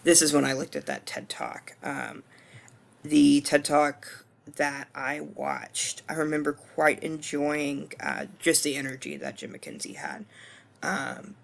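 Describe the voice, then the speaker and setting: A man in his twenties with a hoarse voice, talking through a course screencast reflection in a casual, hesitant way full of ums and restarts. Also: fairly high pitch, expressive, slow pace. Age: 20s. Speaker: student.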